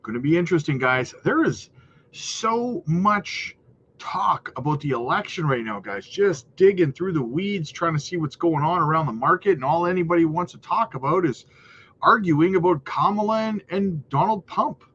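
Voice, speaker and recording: A man's voice: fairly high pitch, lively intonation, normal speed, fairly bright tone. A man hosting a casual crypto market livestream, chatty and hyped, with a slightly nasal voice, often calling viewers guys. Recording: dull lo-fi audio with faint noise.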